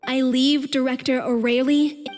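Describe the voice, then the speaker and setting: High, slow voice in hall reverb. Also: female voice, little pitch movement. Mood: solemn. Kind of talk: scripted game voice acting.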